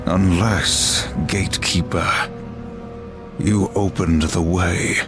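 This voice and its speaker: A man voicing a cold, stoic warrior Horseman in a video game, terse and slow. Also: deep voice, steady tone, music in the background. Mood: stern. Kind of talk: video game cutscene acting. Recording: game score under the voice.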